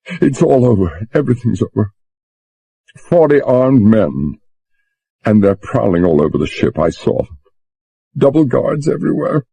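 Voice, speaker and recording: A man's voice: mid-range voice, expressive, measured pace, creaky, pauses often, mellow, husky, dry recording, voice pushed up, exaggerated voice. A man doing a tearful frightened young woman. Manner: tearful, pleading, breathless.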